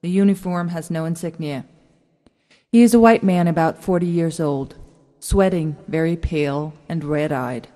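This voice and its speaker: Mellow woman narrator in long reverb. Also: low voice, moderate pace. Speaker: narrator reading stage directions. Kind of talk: scripted narration.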